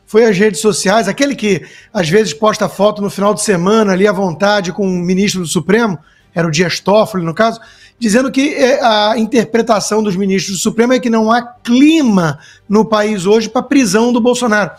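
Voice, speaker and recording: A man's voice: high voice, brisk. A man with a Brazilian accent giving indignant, sarcastic news commentary. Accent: Brazilian Portuguese.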